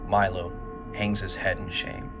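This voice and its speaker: Male narrator for audio drama, lower register, measured dramatic storytelling, scripted read over prominent music. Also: little pitch movement. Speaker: narrator, writer and director.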